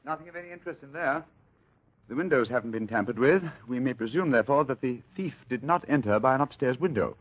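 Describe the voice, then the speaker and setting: A haughty Victorian gentleman detective played by a male radio actor speaks with a very lively, swooping delivery. He is dry, pompous and exact, as in a vintage mystery drama. The recording is dull and lo-fi. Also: medium pitch, quick pace, lightly acted. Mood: keen, self-assured. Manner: dry, pompous, precise and formal. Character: arrogant, sharp-eyed Victorian English gentleman detective.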